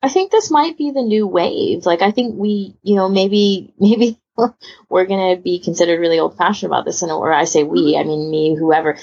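Female podcast host, husky and low, chatty and rambling, slightly dull lo-fi sound. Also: normal speed, a little mellow. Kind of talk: casual panel chat.

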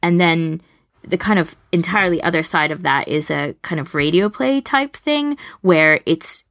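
A woman's voice: on the low side, lively intonation, moderate pace, a bit bright, no room sound, studio quality. A woman co-hosting a casual podcast discussion, chatty and explaining her thoughts at length. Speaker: podcast co-host.

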